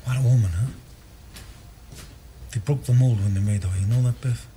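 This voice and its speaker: An actor playing an eager, glib younger brother, a big dreamer with a very husky, persuasive voice, in a stage drama style. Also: male voice, medium pitch, normal speed, warm tone, a little hiss or hum, lightly acted. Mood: easygoing. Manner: boosterish, persuasive.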